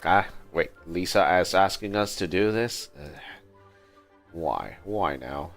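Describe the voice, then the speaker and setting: A man giving casual, low-key live commentary as he streams a game, often muttering to himself. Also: expressive, takes it slowly. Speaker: game livestreamer. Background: game music.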